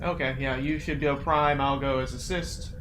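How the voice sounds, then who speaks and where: A man's voice: medium pitch, steady tone, moderate pace, fairly bright tone. A man playing a tabletop role-playing game, talking in casual, colloquial, joking banter. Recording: noticeable background noise.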